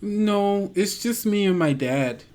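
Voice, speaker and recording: Game master putting on shy, high-voiced little girl. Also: lively intonation, darkish tone. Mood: shy, fond. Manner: halting, simple childlike phrasing. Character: shy, innocent little girl who adores her dad.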